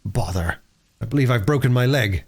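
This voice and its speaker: A man's voice: medium pitch, expressive, moderate pace, darkish tone, husky. A man voicing an injured, plucky and indignant young schoolboy with slangy speech in a storybook reading. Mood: pained.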